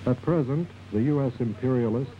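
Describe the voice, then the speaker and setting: A man's voice: medium pitch, fairly quick. A man reading a scripted propaganda documentary narration in a formal, declarative manner. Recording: muffled, noisy old film soundtrack.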